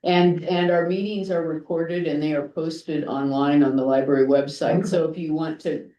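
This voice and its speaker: A woman with a mellow voice chairs a public meeting politely and by the book. The room is echoey. She is picked up from a distance. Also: low voice, fairly even intonation, normal speed, dull audio. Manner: polite and procedural. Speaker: board chair.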